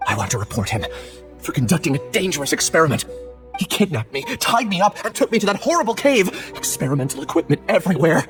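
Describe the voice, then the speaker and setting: A distressed scholar on the run in a video game. He speaks in a halting, pleading and urgent way with lively pitch, over faint music. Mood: frightened, urgent.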